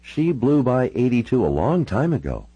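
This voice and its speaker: A man's voice: medium pitch, very animated, moderate pace, dark tone, slightly rough, dry recording, pitched above the performer's own voice, exaggerated voice. A man voicing a troubled, sardonic, hard-drinking woman with a wry, frank and informal way of talking, in audiobook dialogue. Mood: matter-of-fact.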